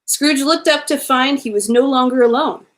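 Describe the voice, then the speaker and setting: High-voiced woman reading a bedtime story. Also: normal speed. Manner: animated, with playful asides to listeners. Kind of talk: expressive read-aloud bedtime story.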